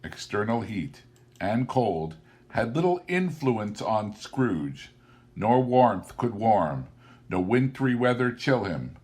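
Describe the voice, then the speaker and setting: Slow, deliberate man narrating a classic tale. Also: lowish voice, very animated, a little mellow. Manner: deliberate, theatrical, emphatic phrasing. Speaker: audiobook narrator.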